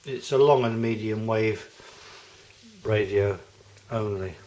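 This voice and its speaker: A man giving a casual, halting demonstration as a hobbyist restorer. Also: fairly low pitch, darkish tone, rough recording. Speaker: vintage radio restorer demonstrating a set.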